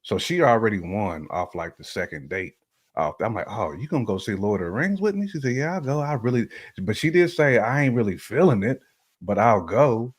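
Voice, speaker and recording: Low-voiced American man, slangy casual chat. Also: fairly quick, slightly rough. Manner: animated storytelling with slang and hypothetical examples. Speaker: talk show host.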